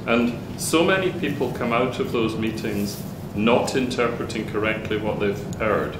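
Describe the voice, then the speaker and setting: Man from the floor, animated, lowish voice, echoey room with rumble. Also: normal speed. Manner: animated storyteller, acts out quotes. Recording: echoey hall, background rumble.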